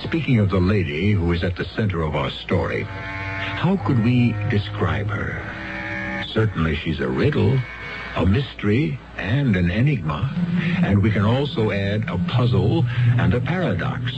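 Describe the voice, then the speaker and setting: A man narrating a 1970s radio mystery as its host, a wry storyteller with a portentous, deliberate, rhetorical delivery. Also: fairly low pitch, very animated. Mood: musing, portentous. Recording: muffled 1970s radio broadcast recording. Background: music.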